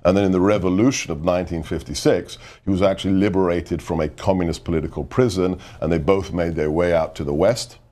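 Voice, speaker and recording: A man's voice: sits low, steady tone, fast, hardly any pauses, dark tone. A middle-aged man from the United Kingdom, speaking as a guest in a televised political interview. He argues in an emphatic, insistent way and repeats phrases for effect. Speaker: guest, former government adviser.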